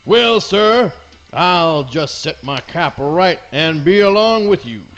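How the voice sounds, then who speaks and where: A man's voice: on the high side, lively intonation, slow, a bit bright, lo-fi sound, soft background music. A man playing a pompous, self-important hound dog detective who speaks in grand theatrical phrases in a live comic radio play.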